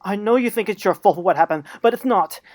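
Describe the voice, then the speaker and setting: A woman's voice: medium pitch, a little mellow, natural voice. A woman voicing an earnest, hot-tempered male German shepherd pup in an emotional cartoon fan drama. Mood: desperate, pleading. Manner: intense, halting, emotional.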